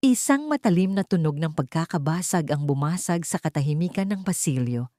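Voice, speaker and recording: A woman narrating a dramatic audiobook in a steady, somber storytelling manner. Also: sits low, no room sound, studio quality.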